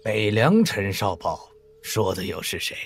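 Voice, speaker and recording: Reserved imperial official, lowish voice, courteous, measured, formal, wuxia audio drama. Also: male voice, big pitch swings, gravelly, breathy edge, exaggerated voice. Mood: guarded, polite.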